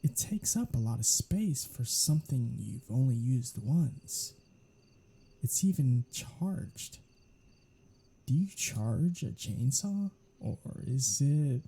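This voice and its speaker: Snarky vampire for ASMR roleplay performer acting, very breathy and mellow, unhurried but lively in pitch, casually menacing and teasing. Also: heavily acted. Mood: dry, bantering. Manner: sardonic, casual, swearing, teasing. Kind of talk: soft-spoken ASMR audio roleplay.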